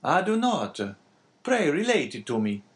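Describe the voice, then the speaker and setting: A courteous, composed priest from a classic storybook, acted by a male narrator. His phrasing is formal and measured, with a raised, expressive pitch. He probes politely. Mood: questioning.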